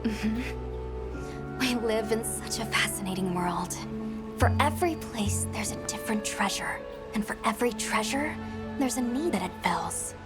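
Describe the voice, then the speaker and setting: A curious, wonder-struck scholar. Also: female voice, fairly high pitch, animated, measured pace. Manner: bright, wondering, informative. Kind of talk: video game cutscene acting. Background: loud game score music.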